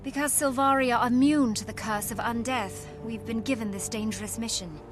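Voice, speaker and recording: A woman's voice: a little high, a little fast, close to an everyday voice. A woman playing a stern, disciplined military officer in a video game, speaking in a formal, commanding way. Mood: grave, businesslike. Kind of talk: video game cutscene acting. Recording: loud game music behind.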